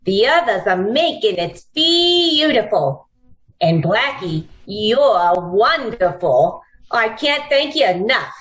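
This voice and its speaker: Lady acting a sweet-talking gangster's girl, old radio play, high voice, slow and cooing. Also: very animated, a bit bright, heavily acted, low-quality recording. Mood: coaxing, sweet.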